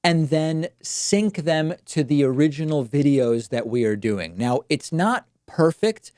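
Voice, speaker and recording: A man from Argentina whose first language is Spanish, hosting a political talk show in a measured, explanatory way. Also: on the high side, animated, a little mellow, no echo, studio quality. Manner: measured, explanatory, weighs pros and cons. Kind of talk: solo political commentary.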